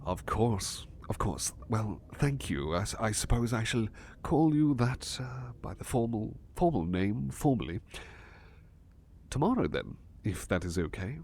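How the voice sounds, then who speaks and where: An eager, flustered butler played by a man in an ASMR roleplay. His voice sits fairly low but swings up and down expressively as he stammers through formal, courteous phrases. Slight background noise runs under the recording. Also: a little slow. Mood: nervous, courteous. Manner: polite, formal, stammering, excitable. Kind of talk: ASMR roleplay acting, personal attention.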